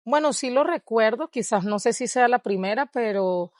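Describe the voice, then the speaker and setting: A woman's voice: a little high, expressive, moderate pace, darkish tone, no echo. Reflective Venezuelan woman, dry close sound. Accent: Venezuelan Spanish. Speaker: guest, dancer and researcher. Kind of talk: interview conversation.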